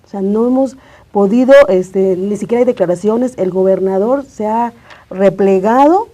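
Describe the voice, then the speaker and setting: Dark, husky-voiced Mexican woman. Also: medium pitch, normal speed. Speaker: journalist, interview guest. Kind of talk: conversational political commentary. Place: TV studio.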